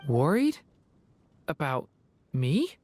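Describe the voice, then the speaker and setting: A woman voicing a gentle, earnest, unsure youth in a video game, with a soft-hearted, hesitant manner. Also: mid-range voice, wildly swooping pitch, drawn-out words, full character voice. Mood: touched, hesitant.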